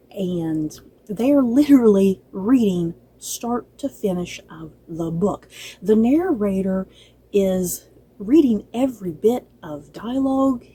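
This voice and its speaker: A woman's voice: mid-range voice, mellow, breathy edge, rough recording. A woman giving a casual, unscripted review to viewers as a channel host, chatty and rambling, often circling back to repeat herself.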